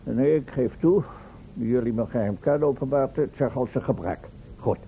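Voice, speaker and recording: An actor playing an eccentric, self-important professor and inventor in a Dutch radio serial, pompous and often hunting for words. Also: male voice, moderate pace, vocal fry, natural voice. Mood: indignant, excited. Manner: pompous, hesitant, searching for words. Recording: muffled phone-like old radio recording, rumbling noise.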